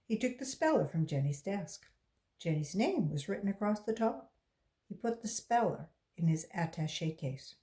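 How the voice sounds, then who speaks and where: A volunteer reader from West Virginia, a woman reading a children's book aloud in a slow, expressive storytelling voice. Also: medium pitch.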